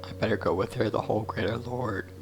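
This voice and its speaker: A man's voice: fairly low pitch, fairly even intonation, fast talker. A man giving casual let's play commentary, musing aloud and sometimes trailing off mid-thought. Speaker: gaming YouTuber. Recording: game music clearly behind the voice.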